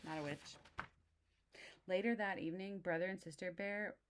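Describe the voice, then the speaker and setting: A woman's voice: lowish voice, normal speed, a little hiss or hum. A woman reading a storybook aloud expressively for young children, adding simple explanatory asides. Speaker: storybook reader.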